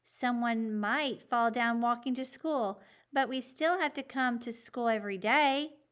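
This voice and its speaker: A woman's voice: a little high, measured pace, fairly bright tone, voice pushed up. A woman voicing an eager, outspoken schoolboy in a children's storybook, speaking in an emphatic, insistent way. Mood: protesting. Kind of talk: children's storybook character reading.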